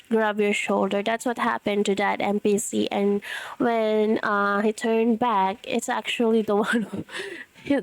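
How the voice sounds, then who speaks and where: A woman's voice: fairly high pitch, no echo, poor audio. A woman from the Philippines with a Filipino accent, whose first language is Tagalog, giving rambling gameplay commentary alone in her room, with a voice still recovering, many fillers and self-corrections. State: voice recovering after being lost. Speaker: game streamer.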